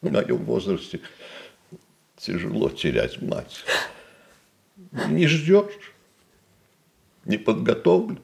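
An actor playing a gentle, grieving middle-aged son in a radio play, hesitant and tender. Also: male voice, medium pitch, wildly swooping pitch, slow pace, creaky, long pauses, full character voice. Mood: sorrowful. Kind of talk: Russian radio play acting.

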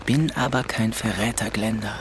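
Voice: medium pitch, fairly even intonation, normal speed, background noise, lightly acted. A performer playing a sullen thirteen-year-old country boy in an audio drama, terse and defensive. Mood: sullen, bitter.

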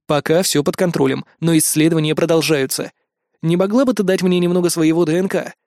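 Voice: mid-range voice, fairly even intonation, brisk, a little mellow, dry recording, clean studio sound, close to an everyday voice. A narrator voicing a wry, self-assured male police captain with a firm, sardonic manner in an audiobook story. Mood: serious.

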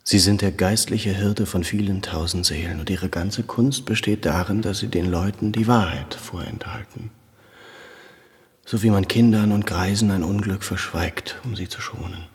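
Troubled urgent character, radio play, deep, intense, brooding, hushed dips, long reverb. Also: male voice, steady tone, moderate pace. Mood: reproachful. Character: troubled, urgent Armenian man challenging his priest. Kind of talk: German radio drama acting.

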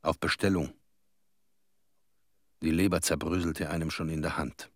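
Very deep-voiced grim hunter. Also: male voice, level tone, measured pace, no room sound, clean studio sound, exaggerated voice. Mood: grim, matter-of-fact. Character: grim male hunter from a radiation zone. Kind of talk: radio play monologue as witness testimony.

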